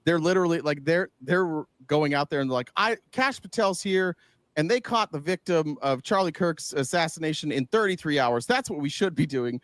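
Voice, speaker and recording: A man's voice: fairly high pitch, lively intonation, normal speed, no room sound. A man giving casual political commentary, emphatic, throwing out rhetorical questions and swearing freely.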